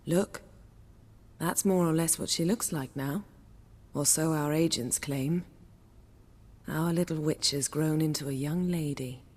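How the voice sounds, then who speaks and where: An actress playing a self-assured sorceress in a video game, poised and articulate with an arch, teasing formality. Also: female voice, sits low, measured pace, long pauses, darkish tone. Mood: measured. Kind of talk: dramatic video game voice acting. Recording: long hall reverb.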